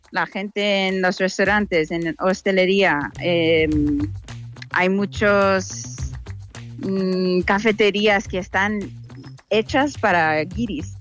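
A woman's voice: mid-range voice, a bit bright. A woman from the United States with an American accent, a native English speaker, chatting casually in an interview and sometimes searching for words. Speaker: interviewee, content creator.

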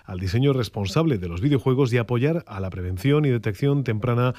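A man reading the regional news as anchor, steady with the odd hesitation. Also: medium pitch, brisk, dry recording, clean studio sound. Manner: steady delivery with occasional hesitations.

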